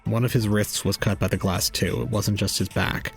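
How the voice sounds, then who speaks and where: Audio drama role: detached, clinical young man male actor, low voice with heavy fry and little inflection. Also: normal speed, faint music behind. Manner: deadpan, clinical. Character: detached, matter-of-fact young male suspect.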